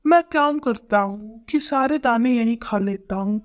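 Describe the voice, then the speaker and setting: Casting: male cartoon crow played by a woman, selfish and grumbling, pitched lower than a storyteller's voice but still fairly high. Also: unhurried, lightly acted. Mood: selfish, scheming.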